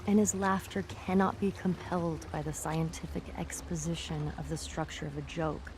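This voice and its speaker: A woman reading a philosophical essay aloud in a measured way. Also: low voice, flat delivery, background noise. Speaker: reader.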